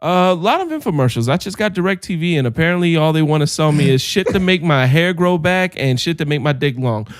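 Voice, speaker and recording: A man's voice: fairly high pitch, animated, a little fast, hardly any pauses, dry recording. A young man co-hosting a podcast in casual chat, ranting and swearing freely and breaking into laughs mid-sentence. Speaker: podcast co-host.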